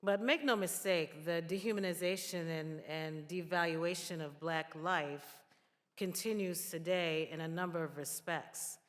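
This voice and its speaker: Low-voiced woman in a reverberant hall. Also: measured pace. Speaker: nurse and panel speaker. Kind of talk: earnest panel remarks. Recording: echoey hall with long reverb.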